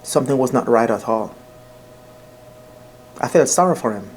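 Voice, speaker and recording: A man's voice: mid-range voice, animated, normal speed, slight background noise. A man telling a personal story informally to his viewers, earnest and emphatic, often repeating phrases and addressing listeners directly. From Jamaica. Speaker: vlogger.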